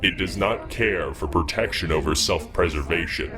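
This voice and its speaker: An actor playing an ominous, knowing narrator who lectures his listener deliberately in a sci-fi audio drama. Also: low-pitched, over music, natural voice. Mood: ominous, cold.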